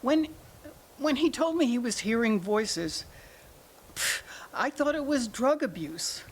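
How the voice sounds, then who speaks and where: A woman's voice: a little high, animated, slow pace, slightly rough, exaggerated voice. A woman in a staged play reading, playing a middle-aged mother of grown children who is defensive, evasive and pleading. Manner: pleading, self-justifying.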